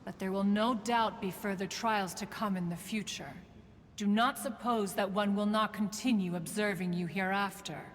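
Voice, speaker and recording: A woman's voice: medium pitch, fairly even intonation, slight background noise, close to an everyday voice. A woman playing an ancient female crane spirit in a video game, proud yet protective, with poised, formal, archaic speech. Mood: stern approval. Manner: formal, archaic, haughty, measured. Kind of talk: scripted video game character acting. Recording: long reverb, music behind. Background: music.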